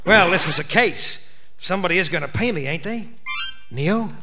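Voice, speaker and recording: A wry aussie gumshoe for a live radio play, swings up and down a lot, music behind. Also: male voice, high-pitched, animated, moderate pace. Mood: hopeful, cheeky. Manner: theatrical, wry, sardonic. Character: hard-boiled wisecracking private detective, world-weary narrator, man in his thirties. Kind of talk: comic noir live radio play acting. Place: theatre studio stage with live audience.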